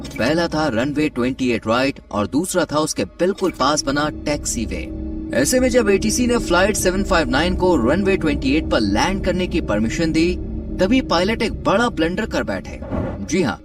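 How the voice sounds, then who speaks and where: A man delivering scripted, dramatic documentary narration. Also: high voice. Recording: distant-sounding voice over background music. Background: music.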